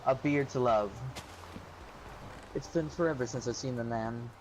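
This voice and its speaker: A young man reading out a crude joke story with deadpan, slow, deliberate delivery. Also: medium pitch, noisy background. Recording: music in the background.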